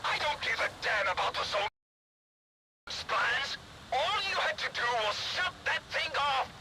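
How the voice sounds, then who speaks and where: An actor playing an obsessive, irritable scientist in a game, fervent and agitated, heard through a noisy radio transmission. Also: male voice, extremely high pitch, animated, slow, heavily acted. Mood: angry, accusing. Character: obsessive, irritable male scientist driven by his life's work.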